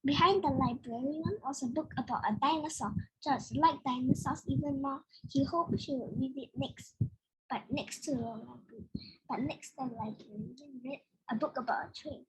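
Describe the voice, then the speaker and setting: A woman reading a children's picture book aloud in an expressive way, as if to young listeners. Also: high voice, moderate pace, husky, poor audio. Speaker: read-aloud storyteller.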